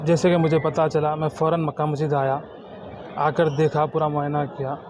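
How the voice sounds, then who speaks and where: A man's voice: fairly high pitch, level tone, normal speed, over music. A man making an indignant, insistent protest statement, repeating his phrases, recorded outdoors in a city. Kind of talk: spoken protest statement to camera. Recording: clearly noisy outdoor urban recording.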